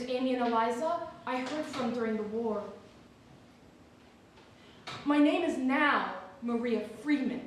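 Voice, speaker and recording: Slow actress as a woman seeking kin. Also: fairly high pitch, lightly acted. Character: formerly enslaved woman searching for her family. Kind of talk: dramatic reading of a historical newspaper ad. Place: theatre or hall, recorded from a distance. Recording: echoey hall from far off, faint noise.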